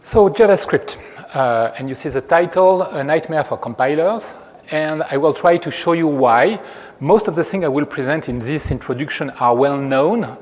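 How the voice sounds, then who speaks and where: A man from France with a French accent, giving a measured technical lecture as a researcher at a conference. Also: a little high, darkish tone. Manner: measured, explanatory, frequent restarts. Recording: long reverb of a hall.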